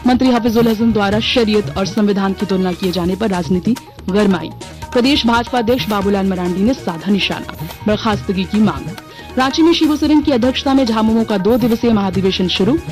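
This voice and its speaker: A woman reads a scripted regional radio news bulletin in a formal, measured manner. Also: mid-range voice, a little mellow. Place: radio studio.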